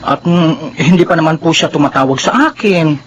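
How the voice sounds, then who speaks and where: A man's voice: a little high, moderate pace, muffled recording, slight background noise, natural voice. A man with a Filipino accent playing a respectful, caring younger relative in a drama. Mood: concerned. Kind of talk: dramatized Filipino radio-style drama acting.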